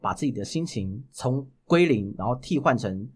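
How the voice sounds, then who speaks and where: A man's voice: mid-range voice, lively intonation, moderate pace, husky. A man with a Taiwanese Mandarin accent answering interview questions as a voice actor, explaining things with examples and repeating key points. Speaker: professional voice actor, podcast guest.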